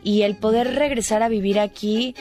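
A woman's voice: mid-range voice, fairly even intonation, moderate pace, mellow, faint music behind. A woman speaking with enthusiasm at a press conference, heard in a played clip.

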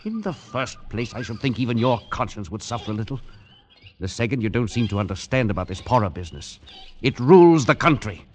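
A man's voice: medium pitch, animated, brisk, slight background noise, natural voice. A man playing a stern, scolding expedition leader in a radio play. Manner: urgent and scolding. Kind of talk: old-time radio drama acting.

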